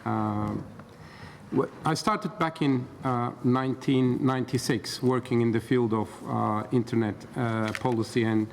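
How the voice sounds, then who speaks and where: A man's voice: medium pitch, fairly even intonation, vocal fry. Hesitant man speaking in a reverberant hall. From Turkey. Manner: hesitant, many filler pauses. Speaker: expert panel speaker. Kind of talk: conference talk. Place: conference hall. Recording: roomy hall reverb, faint background noise.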